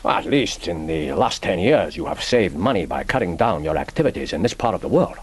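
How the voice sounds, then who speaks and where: An actor in a radio play voicing a proud, formal spymaster, middle-aged, with a husky voice and measured, ceremonious speech. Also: male voice, deep voice, big pitch swings, exaggerated voice. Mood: sly, courteous. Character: proud, courteous Japanese spymaster, formal and sly. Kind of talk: radio drama acting.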